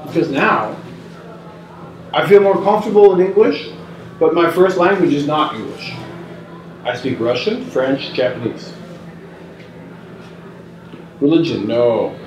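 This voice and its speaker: Man, 40s, measured reader with halting restarts; distant mic, faint room ambience. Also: on the high side, echoey room, slight background noise. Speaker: performer reading interview notes. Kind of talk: reading recorded interview answers aloud. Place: small indoor gallery room with hanging paper strips. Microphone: far camera mic.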